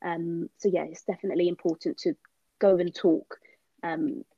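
A woman's voice: on the low side, little pitch movement, normal speed, mellow, husky, no echo. A woman hosting an interview, encouraging her guest with frequent affirmations, heard over a muffled lo-fi line. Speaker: host. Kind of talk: interview host.

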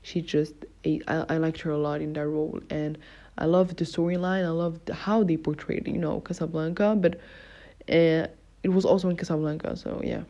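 Low, mellow-voiced woman podcaster. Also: fairly even intonation, normal speed. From Morocco. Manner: chatty, with many fillers and self-corrections. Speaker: podcast host. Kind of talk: casual solo podcast talk. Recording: dull lo-fi recording.

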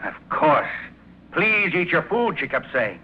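A man's voice: a little high, very animated, measured pace. An actor playing an impatient, sarcastic male prisoner, brusque and sardonic, in a 1950s radio drama. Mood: indignant. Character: impatient, sarcastic male captive, captain's sidekick. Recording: muffled, noisy old radio broadcast.

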